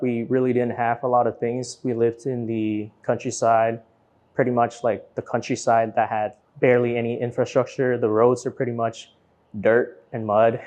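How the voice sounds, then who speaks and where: A man's voice: medium pitch, darkish tone. A man from Vietnam who grew up in Florida, giving casual, informal interview answers that explain things step by step. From born in Vietnam, grew up in Florida from age nine. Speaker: interview guest, business owner.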